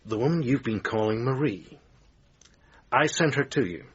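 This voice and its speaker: A man acting in a radio drama as a bitter, needling, self-pitying theatre manager and lover, with a husky voice. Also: medium pitch, expressive, moderate pace, muffled recording. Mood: insistent, defensive.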